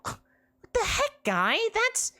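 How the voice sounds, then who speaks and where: A narrator voicing a brash female harpy with a shrill voice who scolds loudly in slang. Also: big pitch swings, moderate pace, exaggerated voice. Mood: hurt.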